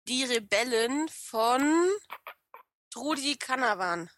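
A woman with a regional German dialect co-hosting a casual streamed podcast, playful and quick to laugh as she reads out chat names. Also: high-pitched, expressive, a bit bright, dry recording, clean studio sound. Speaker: podcast co-host.

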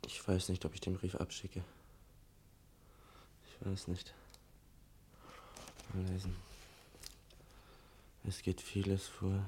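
An actor playing an earnest, troubled son who confides haltingly in an absent mother, in a German radio play. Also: completely flat delivery, warm tone. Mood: troubled, musing. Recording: old radio recording with rumbling noise.

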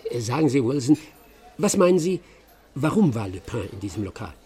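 An actor playing a self-assured, boastful English master detective in a German radio play, pompous, brisk and commanding. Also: male voice, mid-range voice, sing-song, warm tone, husky. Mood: brisk, superior.

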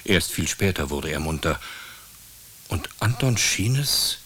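Man, deep, husky, scripted storytelling, radio drama narrator. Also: animated, unhurried, fairly bright tone.

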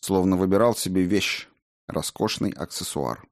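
A man's voice: sits low, moderate pace. A man reading a first-person book aloud as scripted narration. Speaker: audiobook narrator.

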